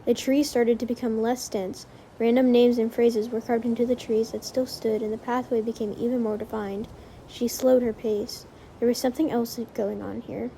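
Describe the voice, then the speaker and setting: A woman's voice: fairly high pitch, level tone, normal speed, warm tone, a little hiss or hum, rough recording. A woman narrating a story from a script, as in an audiobook of fan fiction.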